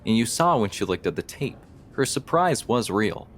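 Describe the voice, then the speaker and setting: A man's voice: mid-range voice, big pitch swings, moderate pace, natural voice. An actor playing an earnest, thoughtful police detective who stands his ground in an audio drama. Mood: earnest, insistent. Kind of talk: audio drama acting, conversational.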